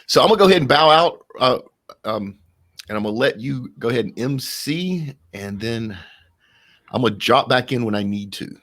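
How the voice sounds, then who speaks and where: A man's voice: mid-range voice, animated, normal speed, fairly bright tone. A man co-hosting and organizing a live online event in a casual way.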